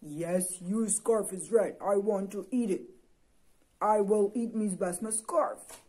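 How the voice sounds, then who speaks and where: A woman voicing an eager, greedy toy dinosaur puppet in a children's show, talking in an excited, childlike, repetitive way. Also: mid-range voice, slow, husky. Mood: hungry, delighted.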